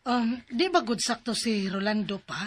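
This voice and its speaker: Worried, higher-voiced mother. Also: animated, normal speed, no room sound. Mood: worried, pleading. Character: peace-loving, worried middle-aged mother avoiding family conflict.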